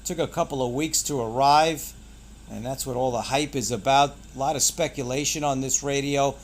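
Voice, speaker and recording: A man's voice: medium pitch, fairly even intonation, normal speed. A man hosting a casual product unboxing, pointing things out in short, clipped phrases. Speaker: product reviewer and channel host.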